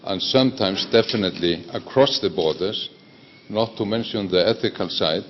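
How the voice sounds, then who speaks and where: A man from Iceland, giving measured remarks as a panelist. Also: low-pitched, muffled recording, slight background noise. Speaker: panelist, politician.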